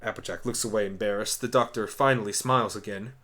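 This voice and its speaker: A man's voice: lowish voice, fairly even intonation, quick pace, fairly bright tone. A man reading scripted stage narration for an amateur radio play. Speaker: narrator and voice performer.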